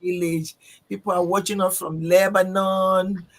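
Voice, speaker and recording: A woman's voice: fairly low pitch, little pitch movement, unhurried. A woman hosting an interview show, effusive and emphatic, repeating phrases for weight. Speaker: host.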